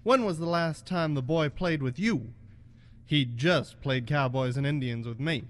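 Man playing a bullying, slow-drawling toy cowboy. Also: on the high side, natural voice. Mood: taunting. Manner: taunting, folksy cowboy talk. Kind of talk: student radio play acting. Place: college radio studio, live broadcast.

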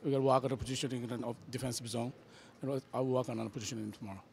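A middle-aged man, a coach and native Japanese speaker, answering in halting phrases during a post-game interview into handheld mics at an indoor media backdrop. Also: medium pitch, level tone, creaky, darkish tone, a little hiss or hum. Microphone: handheld reporters' mics, close.

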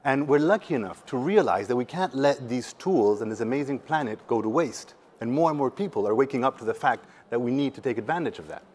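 A man's voice: mid-range voice, lively intonation, a little fast, hardly any pauses, a little mellow. A man around forty, speaking earnestly and emphatically in a conversational conference talk. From Patagonia, Chile. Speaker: startup representative, panel speaker. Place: seated on a conference stage indoors.

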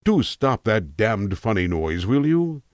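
A man's voice: big pitch swings, slow, dry recording. Man playing a posh, testy elderly tramp. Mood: indignant. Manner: highly cultured, posh, politely indignant. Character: elderly cultured tramp, testy, chatty, eccentric gentleman.

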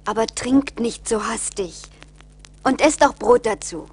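An actress playing a kind, compassionate young wife in a 1980s radio play, with a caring manner. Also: female voice, on the high side, unhurried, darkish tone, slightly rough.

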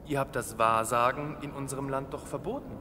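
A man's voice: medium pitch, fairly even intonation, fairly quick, bright, forceful tone, long reverb tail, a little hiss or hum, close to an everyday voice. A man playing a hesitant, deferential palace servant in a radio play.

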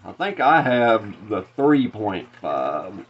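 A man's voice: on the low side, sing-song, slow pace, lo-fi sound. A man in his thirties from Arkansas with a Southern accent, hosting a casual fishing-lure unboxing vlog. He talks in a rambling way, with many "uh" fillers and restarts, from a small tackle-filled room. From Arkansas, USA. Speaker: fishing channel host.

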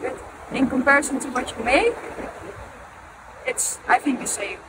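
Young woman, high voice, loud street noise. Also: animated, normal speed. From Netherlands. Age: young adult. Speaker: interviewee, intern. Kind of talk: street interview answers. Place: outdoor city street. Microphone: handheld mic held toward her.

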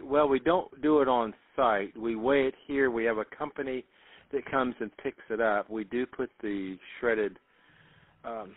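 A man's voice: fairly low pitch, no echo. A man working in customer service on a phone call, explaining things plainly and politely. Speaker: parcel shop worker taking a customer call. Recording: tinny phone line.

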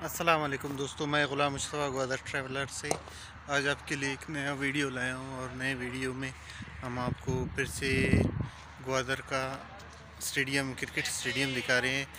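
A man in his thirties, a travel vlogger, walking a cricket ground and talking to a phone camera at arm's length in an unhurried, repetitive way. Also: medium pitch, background noise. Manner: flat, steady and unhurried, with many repeats. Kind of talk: casual walking vlog. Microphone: phone camera held at arm's length.

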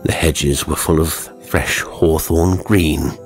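Deep, slow male storyteller. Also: fairly even intonation, faint music behind. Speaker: narrator. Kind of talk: scripted horror story narration.

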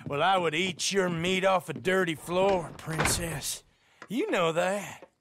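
A man's voice: high voice, slow, noisy background. An actor playing a creepy, overly friendly backwoods farmer in a video game, giggling and leering as he drawls.

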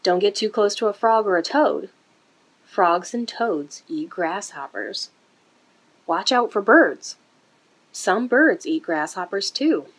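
A woman reads a picture book aloud to young children. Her mid-pitched voice has a husky, slightly breathy edge. She phrases things deliberately and expressively, as in a story time. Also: expressive, rough recording. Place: indoor room.

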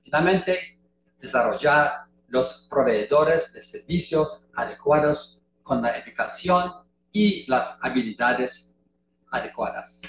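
Slow older man speaking carefully. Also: medium pitch, lively intonation. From Canada. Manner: slow, deliberate delivery in a second language. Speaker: conference speaker. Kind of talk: prepared lecture. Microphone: video call webcam. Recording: echoey room, faint noise.

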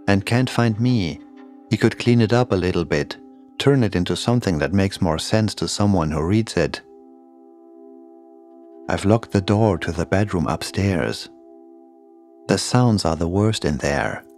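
A man's voice: on the low side, expressive, unhurried, pauses often, darkish tone, soft background music. A man reading a scripted narration as the narrator of a horror podcast.

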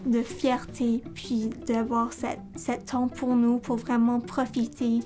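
A young woman student, a native English speaker, speaking French in an eager, lively outdoor interview. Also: on the high side, takes it slowly. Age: young adult. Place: outdoors by a building.

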